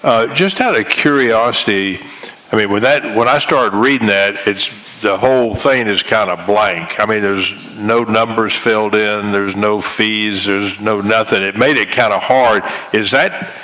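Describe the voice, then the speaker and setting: A man questions a proposal in a meeting, plain-spoken and informal. His voice is fairly low, with a bright, forceful edge. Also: measured pace, poor audio. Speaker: city council member.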